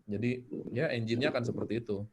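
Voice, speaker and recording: A man's voice: mid-range voice, quick pace, darkish tone. Man on a noisy video call. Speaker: guest storyteller giving tips. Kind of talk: casual online workshop talk.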